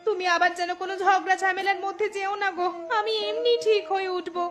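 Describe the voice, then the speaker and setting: A woman's voice: very high voice, steady tone, fast talker, fairly bright tone, faint music behind. A woman voicing an anxious, plaintive village wife in an emotive moral cartoon. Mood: concerned. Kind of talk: Bengali moral cartoon dialogue.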